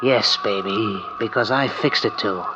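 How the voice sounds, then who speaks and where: A man's voice: on the low side, a little slow, natural voice. An actor playing a smug, scheming, murderous man with cocky, slangy tough-guy talk in an old-time radio thriller. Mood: smug, menacing. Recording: muffled, noisy old radio recording.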